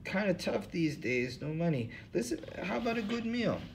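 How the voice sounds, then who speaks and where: Guy doing a whiny begging old bum, pitched way up, nagging. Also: big pitch swings, moderate pace, vocal fry, slight background noise, full character voice. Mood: wheedling. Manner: pleading, nagging, higher-pitched. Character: whiny old male hobo begging for money.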